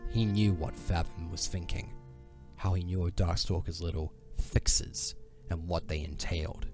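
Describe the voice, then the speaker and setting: Male narrator, low-pitched, dramatic scripted fantasy storytelling, solemn, measured, quiet music bed. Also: steady tone.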